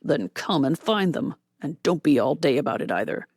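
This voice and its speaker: Drunken male robber leader, harsh and bossy, deep rough growl; a woman doing the voice. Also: animated, normal speed, darkish tone, dry recording, studio quality, heavily acted.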